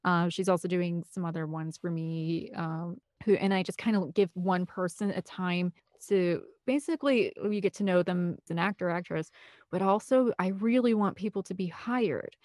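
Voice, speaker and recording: A woman's voice: mid-range voice, darkish tone, dry recording. Candid, opinionated woman in a dry recording. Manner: rambling, opinionated, emphatic. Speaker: interview guest, film composer and director. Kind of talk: candid interview conversation.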